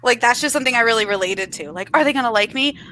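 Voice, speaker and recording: Bright rambling woman on a book panel. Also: a little high, racing, a little hiss or hum. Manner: rambling, heavy use of "like", earnest. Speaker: guest panelist. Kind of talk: casual book discussion.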